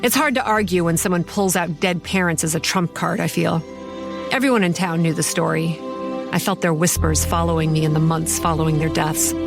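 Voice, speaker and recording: Woman as a low-voiced, wry horror story narrator. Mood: brooding. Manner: confiding, wry. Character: sardonic, haunted young woman running a campground.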